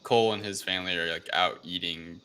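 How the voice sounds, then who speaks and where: A man's voice: sits low, fairly even intonation, a little fast, bright, forceful tone. A man co-hosting a casual film review chat, recapping scenes informally. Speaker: podcast co-host. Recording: clear background noise.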